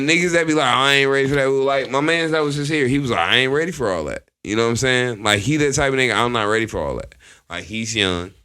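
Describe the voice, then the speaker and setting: Man, 20s, podcast co-host: strong, bright voice, a bit low, dominates the conversation. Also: clean tone. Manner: forceful, holds the floor, argues his point. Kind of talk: casual chat.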